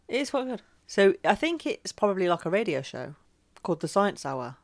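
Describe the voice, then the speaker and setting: A woman's voice: medium pitch, sing-song, brisk. A woman co-hosting an educational podcast, reading notes and then chatting informally. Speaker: podcast co-host. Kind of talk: casual educational podcast chat.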